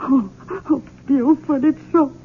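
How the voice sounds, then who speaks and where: An aging captive mother, desperate and pleading. The delivery is high and melodramatic, in 1970s radio style. The broadcast sound is tinny and faintly noisy. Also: steady tone, slow. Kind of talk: 1970s radio drama acting.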